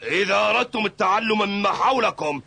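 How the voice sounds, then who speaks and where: A man's voice: sits high, expressive, fairly quick, vocal fry, bright, forceful tone, slightly rough, full character voice. An actor voicing an eccentric old male kung fu master who gives cryptic orders in a cartoon dub. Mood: instructing. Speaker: kung fu coach.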